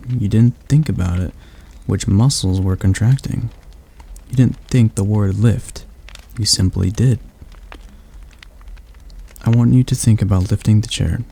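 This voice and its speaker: A man playing a gravelly demon tutor. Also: fairly low pitch, unhurried, heavily acted. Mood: coaxing. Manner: calm, instructive, gently commanding. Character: patient male demon tutor teaching magic. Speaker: ASMR roleplay performer. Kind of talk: ASMR roleplay.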